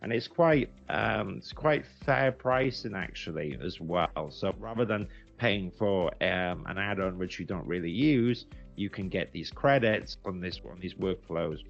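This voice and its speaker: British man, very low pitch, conversational explainer, casual testimonial style, slightly dull recording, music behind. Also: lively intonation, unhurried, gravelly. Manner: conversational, explanatory, emphatic word repetition. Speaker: recruitment agency founder giving a software review.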